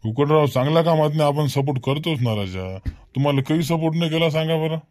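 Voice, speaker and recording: Guy playing a village schoolteacher, bit high for a man, folksy varhadi marathi accent, cartoon chat, dry sound. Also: quick pace, darkish tone, no echo, lightly acted. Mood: folksy reasoning. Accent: rural Varhadi Marathi. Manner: folksy, reasoning. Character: village schoolmaster chatting about local gossip. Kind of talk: comic village cartoon dialogue.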